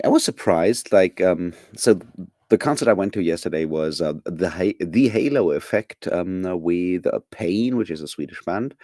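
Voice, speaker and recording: A man's voice: low voice, darkish tone, dry recording, studio quality. A man chatting casually with his live viewers, rambling with lots of fillers, restarts and chuckles. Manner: chuckles often. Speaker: streamer.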